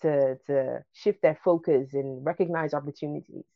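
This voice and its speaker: A woman's voice: deep voice, fairly even intonation, normal speed, gravelly, no room sound, muffled recording. A woman hosting a casual interview, encouraging and rambling, with many fillers and restarts. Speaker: interview host.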